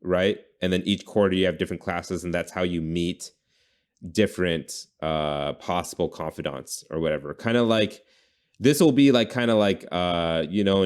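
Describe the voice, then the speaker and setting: Deep-voiced man chatting casually. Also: a little mellow, smooth. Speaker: podcast host. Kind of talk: casual brainstorming chat about video games.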